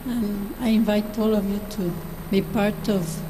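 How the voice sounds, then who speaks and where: A woman from Guatemala giving a short calm speech on spirituality to an audience. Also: medium pitch, measured pace, noisy background. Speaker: guest speaker. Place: large hall on stage.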